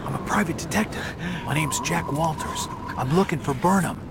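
A man's voice: fairly high pitch, a little fast, close to an everyday voice. A man playing a determined, guarded private detective in a video game, speaking tersely. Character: determined, hard-boiled male private detective. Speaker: game protagonist. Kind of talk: game cutscene acting. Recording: loud ambient noise behind.

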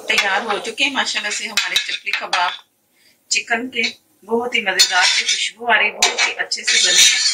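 A woman's voice: mid-range voice, very noisy. A woman gives a casual, chatty cooking demonstration in her home kitchen. She explains each step as she works and often restarts her sentences. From Pakistan. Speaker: cooking channel host. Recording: clear kitchen clatter behind. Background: pots, pans and clicks.

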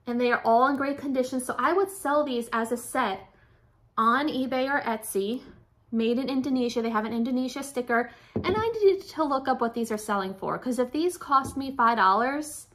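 A woman's voice: high-pitched, normal speed. A woman in her forties, a little out of breath, chatting casually and rambling to viewers as she presents secondhand finds. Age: 40s. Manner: rambling, self-correcting, addresses viewers directly. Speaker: reseller showing flea market finds. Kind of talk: casual chatty haul vlog. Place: furnished room at home, indoors.

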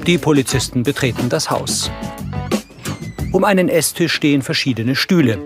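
Male narrator over music. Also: medium pitch. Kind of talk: scripted scene narration, audio description.

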